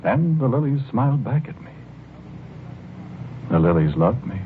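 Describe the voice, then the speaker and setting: An actor playing a dreamy, timid husband obsessed with his garden, musing haltingly in the style of 1940s radio drama. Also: mid-range voice, sing-song, noisy background. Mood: dreamy, wistful. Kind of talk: 1940s radio drama acting, first-person narration and dialogue. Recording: muffled old radio recording, music behind.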